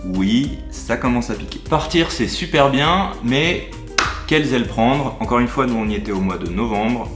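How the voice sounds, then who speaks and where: A man giving upbeat, chatty travel advice in a vlog voice-over. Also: medium pitch. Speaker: travel vlogger. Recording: roomy sound over background music.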